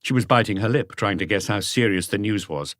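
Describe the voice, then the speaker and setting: Deep-voiced man narrating an audiobook. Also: fairly quick, a bit bright, dry recording, clean studio sound. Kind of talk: scripted literary narration.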